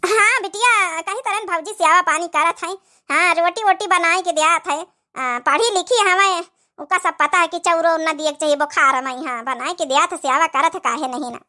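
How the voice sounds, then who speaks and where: A woman's voice: very high-pitched, normal speed, bright, forceful tone. A woman voicing an ailing, self-sacrificing old village mother in an Awadhi comedy cartoon, plaintive and soothing. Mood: reassuring.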